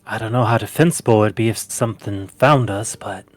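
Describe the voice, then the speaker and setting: A man's voice: lowish voice, brisk, dry recording, soft background music. A man talking casually as a player in a tabletop game.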